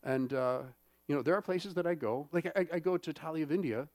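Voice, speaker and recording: A man's voice: fairly high pitch, fairly quick, darkish tone, no room sound, studio quality. A man preaching a sermon in a church, talking in a conversational way and often checking in with the congregation. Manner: conversational, many fillers and check-ins. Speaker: pastor.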